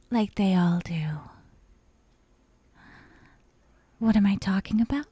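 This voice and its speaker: A woman voicing an obsessive, sadistic young yandere captor in a soft, breathy ASMR roleplay, half-whispering with sweet menace. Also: medium pitch, slight background noise, lightly acted. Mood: sweetly sinister. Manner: slow, breathy half-whispers broken by giggles. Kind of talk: ASMR roleplay, intimate dramatic monologue.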